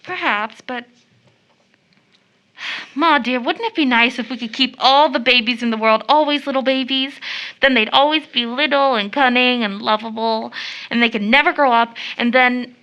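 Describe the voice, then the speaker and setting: A woman's voice: a little high, moderate pace, sharp tone, dull audio. An actress playing an eager, tender-hearted teenage schoolgirl in an audio drama, chatty, girlish and earnest. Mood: tender, troubled. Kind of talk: stage-play audio drama acting.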